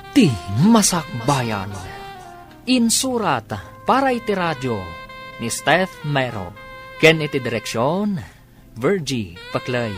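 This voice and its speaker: A man with a Filipino accent reading scripted radio drama credits as a formal, measured announcer. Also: fairly high pitch.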